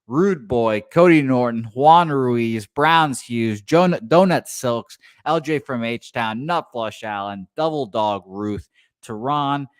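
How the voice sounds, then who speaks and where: A man's voice: mid-range voice, slow, clear, resonant tone, no echo, studio quality. A man hosting a sports betting radio show, reading off a list of names and then calling a playful mock horse race. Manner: rattles off names, then calls the race excitedly.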